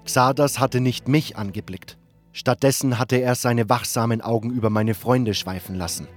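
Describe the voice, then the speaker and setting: A man's voice: medium pitch, moderate pace, lightly acted. A man playing a serious hero who narrates his own fantasy adventure in an audio drama. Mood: measured. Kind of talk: scripted narration. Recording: music bed behind.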